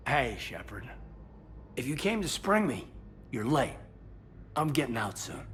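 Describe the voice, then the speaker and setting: A male military officer in a sci-fi game, earnest and modest. His mid-pitched voice moves expressively and friendly, over a faint low rumble. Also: sing-song, unhurried, a bit bright. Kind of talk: video game voice acting. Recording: low rumbling background noise.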